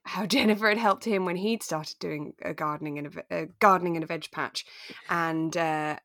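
A woman co-hosting a podcast in relaxed, conversational chat, retelling a drama and acting out bits of it. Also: fairly low pitch, a little fast, no room sound, clean studio sound. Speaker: podcast co-host.

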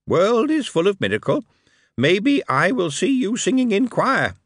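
A man's voice: sits high, very animated, a little slow, dry recording, studio quality, voice pushed up, exaggerated voice. A man voicing a small, sly male goblin who speaks in broken grammar with a sardonic, lofty manner. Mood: mocking.

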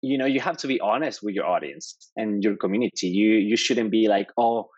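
A man's voice: fairly low pitch, a little fast, darkish tone, slightly rough, no echo, muffled recording. A man from Mexico with a Mexican Spanish accent, speaking as a guest in a casual tech interview, enthusiastic and often checking in with "right?". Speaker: developer advocate guest.

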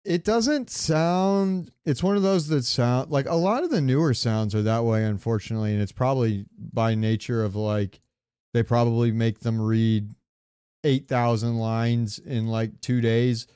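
Smooth-voiced man chatting on a podcast. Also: medium pitch, measured pace, dark tone, no room sound, clean studio sound. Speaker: podcast co-host. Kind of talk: casual gaming podcast chat.

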